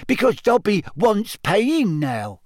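A man voicing a small, eager male house-elf servant with a squeaky, deferential voice in an audiobook. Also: sing-song, slow, a little mellow, no echo, studio quality, heavily acted. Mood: earnest, proud. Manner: speaks of himself in third person, servile. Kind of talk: audiobook character voice.

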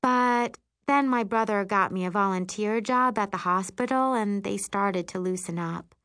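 A woman's voice: mid-range voice, moderate pace, fairly bright tone, clean tone, no room sound, pitched above the performer's own voice, natural voice. A woman reading a novel as a chatty, gushing teenage girl. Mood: upbeat.

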